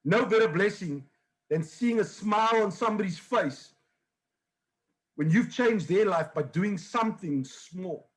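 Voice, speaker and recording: A man's voice: high-pitched, lively intonation, unhurried, low-quality recording. A man hosting a motivational video call, speaking in an upbeat, encouraging way. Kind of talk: motivational presentation.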